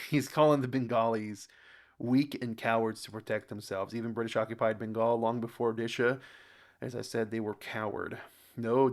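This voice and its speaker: American guy doing a casual reaction vid, kinda halting n muttering, medium pitch, trips on words reading stuff out. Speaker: host of a reaction channel. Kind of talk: casual reaction commentary, reading comments aloud.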